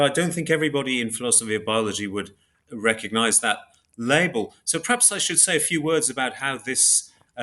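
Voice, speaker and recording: A man speaking as an academic interview guest, explaining in a measured, scholarly way and pausing to search for words. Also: mid-range voice, bright, forceful tone.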